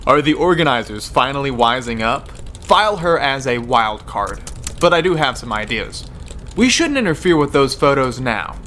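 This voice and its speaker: A man's voice: medium pitch, very animated, moderate pace, sharp tone, a little hiss or hum. A man playing an intense, scheming teenage debate team leader in an amateur radio drama, terse and commanding. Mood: terse, strategic.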